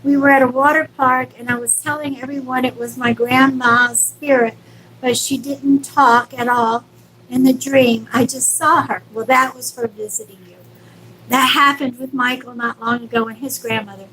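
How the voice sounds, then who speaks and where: A woman's voice: high-pitched, fairly even intonation, moderate pace, sharp tone, slight background noise, low-quality recording. A woman hosting a live stream as a psychic medium, speaking casually and affectionately to viewers with reassurance and endearments.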